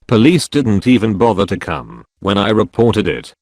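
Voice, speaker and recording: A man reading out first-person stories as a steady, even narrator. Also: on the low side, normal speed, no echo. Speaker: narrator reading online posts. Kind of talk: scripted narration. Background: soft background music.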